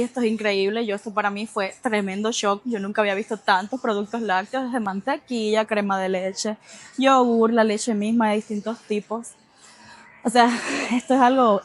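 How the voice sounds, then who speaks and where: A woman with a Cuban accent hosting a vlog, chatting casually and expressively to the camera. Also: on the high side. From Cuba. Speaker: vlog host.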